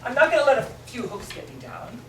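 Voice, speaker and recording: A woman playing a vain, sharp-tongued drag queen, bossy and theatrical, in a stage drama. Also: high voice, expressive, fast talker. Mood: sarcastic. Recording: echoey room, distant mic, noisy.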